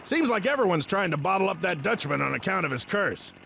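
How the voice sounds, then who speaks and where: A man voicing a folksy old fish who clerks at a pier in a cartoon video game, with creaky salesman patter. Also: high-pitched, sing-song, brisk, exaggerated voice. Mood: businesslike. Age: older. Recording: clearly noisy game audio.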